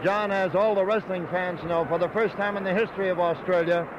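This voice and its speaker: A middle-aged man speaks in a high-pitched voice as a wrestling insider being interviewed. He is emphatic and hyperbolic, stumbling now and then. The old radio recording is dull, with clear noise behind him. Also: steady tone, brisk. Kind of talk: excited on-the-spot interview.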